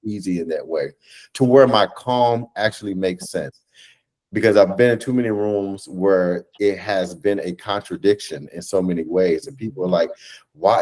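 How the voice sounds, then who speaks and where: A man in his thirties talking earnestly and gently on a video call, reflecting as a director in an interview. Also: lowish voice. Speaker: stage play director. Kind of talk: reflective panel interview talk.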